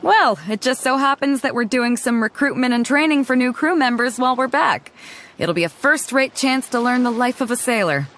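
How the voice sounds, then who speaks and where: A woman's voice: high-pitched, moderate pace, a bit bright, a little hiss or hum. An actress voicing a bold, confident female pirate captain in a game cutscene, hearty and commanding with a teasing edge. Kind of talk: video game cutscene dialogue.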